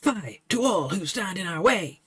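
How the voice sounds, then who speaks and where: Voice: medium pitch, very animated, a little slow, bright, forceful tone, slightly rough, no room sound, heavily acted. A reader playing Burnett, a lovestruck swordsman in a stage tragedy, declaiming in bombastic, archaic speech. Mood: grieving. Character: grief-stricken lovestruck swordsman, theatrical.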